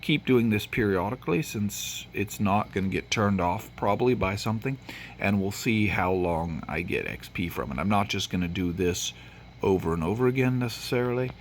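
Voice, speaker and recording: Sniffly Tennessee man with gravelly voice. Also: fairly low pitch, lively intonation, normal speed, a little hiss or hum. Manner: rambling, with frequent fillers and restarts. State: sniffly from allergies. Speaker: gaming channel host. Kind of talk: casual gameplay commentary.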